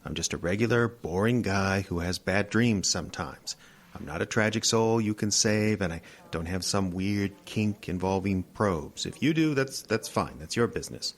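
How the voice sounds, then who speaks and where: Guarded, deep-voiced actor as an irritable guy. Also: lively intonation, normal speed. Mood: defensive, weary. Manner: defensive, wary, exasperated. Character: guarded, irritable regular guy haunted by nightmares. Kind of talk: naturalistic audio drama acting.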